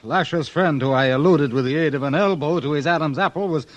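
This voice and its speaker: A man's voice: fairly high pitch, a little fast, muffled recording, close to an everyday voice. A man playing a well-spoken, wry and aggrieved painter with a mannered delivery in a 1950s radio western. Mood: indignant. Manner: articulate, mannered. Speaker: supporting actor in a western radio drama.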